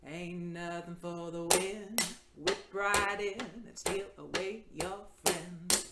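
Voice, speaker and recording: A woman's voice: on the low side, steady tone, slow pace. A woman singing in a musical theatre style, as a performer in a stage musical. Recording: noisy, roomy recording with clicks.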